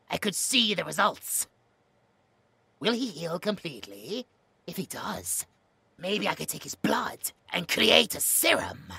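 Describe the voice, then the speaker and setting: A man's voice: a little high, creaky, pushed and bright, slightly rough, no echo, exaggerated voice. A British man playing a smug, cruel mad scientist in a horror audio roleplay, with a mocking, snobbish manner. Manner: mocking, snobbish, drawn-out musing.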